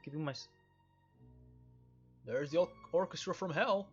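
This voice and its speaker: A man's voice: a little high, normal speed, husky, lo-fi sound, faint music behind. A man giving casual, sarcastic let's-play commentary on a video game, with joking asides and dialogue read aloud.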